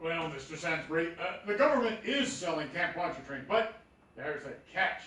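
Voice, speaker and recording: A man plays an earnest, eager sidekick. His high, bright voice carries from far off in an echoey stage radio drama. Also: lively intonation, normal speed, a little hiss or hum. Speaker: stage radio-play actor. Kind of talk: live radio play acting.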